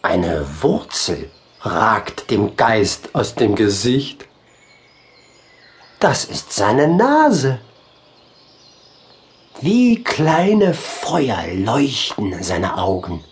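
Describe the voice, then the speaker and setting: Very slow, expressive male storyteller. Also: lowish voice. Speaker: audiobook narrator. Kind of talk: scripted children's story narration.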